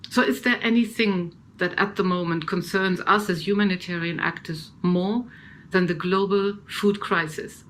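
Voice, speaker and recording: A woman giving a formal opening speech at a conference, earnest and measured. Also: medium pitch, fairly bright tone, a little hiss or hum, rough recording.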